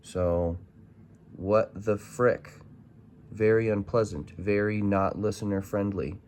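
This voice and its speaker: A man's voice: fairly low pitch, steady tone, slow, a little mellow, slight background noise. A man giving a casual, unscripted gadget review, informal and hesitant as he thinks aloud. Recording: music in the background.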